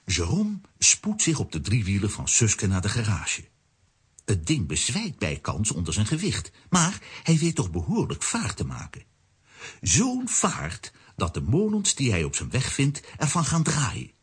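An actor playing a wry, animated narrator of a children's radio story, with a husky voice. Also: male voice, medium pitch, a little slow, exaggerated voice.

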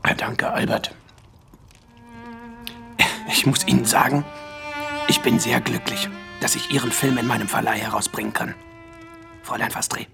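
A man playing a suave, effusive film distributor in a radio play, flattering and theatrical, often sinking into breathy near-whispers. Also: mid-range voice, normal speed, pauses often, music in the background. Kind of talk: radio drama dialogue.

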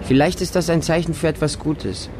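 An actor playing a frightened, devoted street child who lives with dogs, telling the story in short, simple sentences for a radio drama. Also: male voice, fairly high pitch, steady tone, a little fast, darkish tone, slight background noise, natural voice. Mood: plaintive, earnest. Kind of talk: first-person radio drama monologue. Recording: music under the voice. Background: music.

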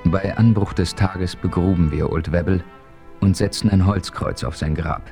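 A man playing the calm, authoritative hero who narrates in the first person, in a radio play. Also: deep voice, normal speed, creaky, faint music behind.

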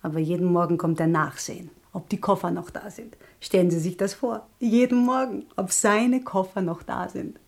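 An actress playing a penniless young hotel guest in a radio play, wistful, soft-spoken and wryly resigned. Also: female voice, fairly low pitch, fairly even intonation, moderate pace, gravelly, few pauses.

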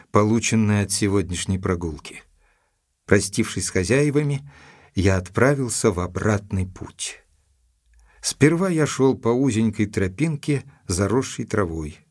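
An actor playing an earnest, gentlemanly doctor in an audio drama, speaking in a courteous, measured way. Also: male voice, lowish voice, natural voice. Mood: pensive narration. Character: earnest gentlemanly doctor, loyal and cautious, narrating. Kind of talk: audio drama narration and dialogue.

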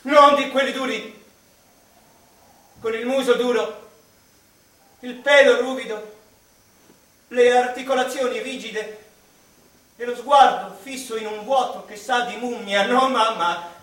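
A man's voice: very high voice, steady tone, a bit bright, full character voice. A male actor playing a tormented, eccentric mathematician in an emphatic, declamatory radio drama monologue. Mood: wistful, insistent. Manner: emphatic, declamatory, with deliberate repetitions. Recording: distant echoey room with faint background noise.

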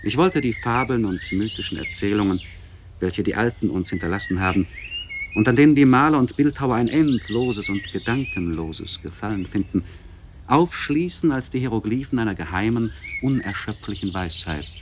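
A man playing a melancholy, learned nobleman in a literary radio monologue, speaking in a solemn, reflective, measured way with emphatic swells. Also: on the low side, animated, background noise, natural voice. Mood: wistful, reflective. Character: melancholy, learned nobleman confessing a lost inner vigour.

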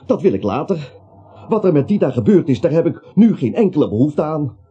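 An actor in a Dutch radio play, playing a bewildered, grumbling everyman with a husky voice and hesitant, plaintive questions. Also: fairly high pitch, big pitch swings, brisk, warm tone, exaggerated voice. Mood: anxious, puzzled. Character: bewildered, anxious, grumbling everyman dragged into a manhunt. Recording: dull, muffled radio play recording.

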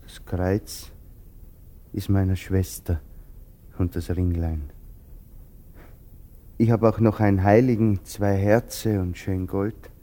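An actor in a German radio play voicing a resigned, melancholy soldier of thirty, halting and brooding. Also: male voice, deep voice, fairly even intonation, mellow. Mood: resigned, tender. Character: resigned, haunted thirty-year-old common soldier, simple and melancholy.

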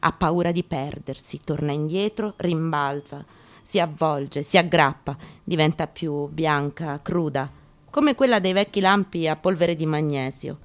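A woman's voice: low voice, a little slow. A woman, a stage actress, giving a dramatic theatrical reading of a memoir with guitar behind her. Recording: acoustic guitar playing behind the voice.

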